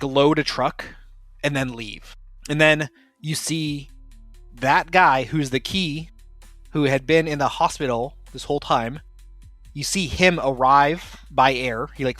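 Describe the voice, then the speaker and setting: A man's voice: mid-range voice, fairly bright tone, dry recording. Man running a tabletop game, dry sound. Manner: explains at length with many fillers and restarts. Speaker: game master. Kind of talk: casual tabletop game narration.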